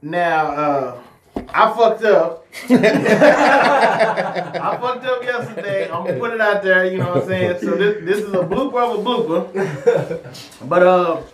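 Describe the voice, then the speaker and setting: A man's voice: sits high, expressive, takes it slowly, reverberant, a loud crowd. A man hosting a casual podcast interview, laid-back and slangy, filling his talk with "you know what I'm saying". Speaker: podcast host. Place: home podcast room.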